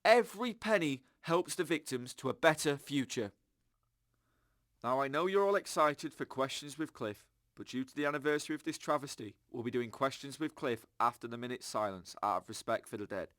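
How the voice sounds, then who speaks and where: An actor playing an earnest, upbeat radio host, chatting to listeners in an audio drama. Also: male voice, medium pitch, a little fast, fairly bright tone, no echo, clean studio sound, natural voice. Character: chirpy, earnest, government-trusting male radio show host.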